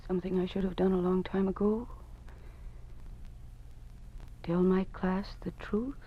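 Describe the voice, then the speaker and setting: A woman's voice: lowish voice, flat delivery, a little fast, soft background music, close to an everyday voice. An actress playing an earnest, brave young female teacher and dissenter in an old-time radio drama. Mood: resolute. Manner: earnest, impassioned. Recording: muffled old radio recording.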